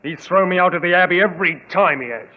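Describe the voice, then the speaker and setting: A muffled old radio recording of a man playing a stubborn English villager. He grumbles bluntly in a rustic accent, his voice fairly high for a man and full of grievance. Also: fast talker, close to an everyday voice. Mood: aggrieved. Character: aggrieved, surly male English villager, stubbornly grasping. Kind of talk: old-time radio drama acting.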